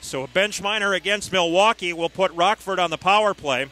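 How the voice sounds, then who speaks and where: A man calling ice hockey live on the radio as play-by-play announcer, with a clipped, steady running description. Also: high voice, brisk.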